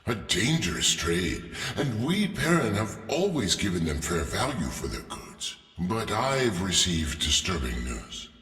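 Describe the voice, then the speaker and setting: An actor playing a shrewd, courteous merchant leader in a video game, formal and persuasive, with deliberate pauses. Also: male voice, low voice, big pitch swings, fairly bright tone, heavily acted. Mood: businesslike concern. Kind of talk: video game briefing acting. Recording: echoey hall, distant, faint music.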